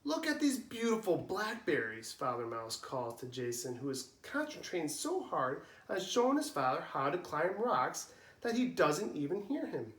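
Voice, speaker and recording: A young man, a preschool teacher, reading a storybook aloud to young children in an animated, sing-song way. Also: on the high side, moderate pace, echoey room.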